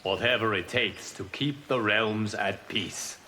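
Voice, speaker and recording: Solemn guardian god, lowish and forceful, formal and cryptic, fighting-game hero. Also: male voice, a little slow, a little hiss or hum. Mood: calm, reserved. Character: solemn fire god guardian of Earthrealm, male. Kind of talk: video game voice acting.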